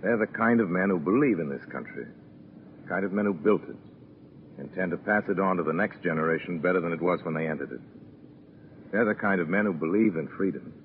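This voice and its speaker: Male actor as grave wartime narrator, very low voice, oratorical, tinny vintage radio sound. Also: a little fast, natural voice. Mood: stirring. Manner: solemn, rhetorical. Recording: tinny, noisy 1940s radio recording.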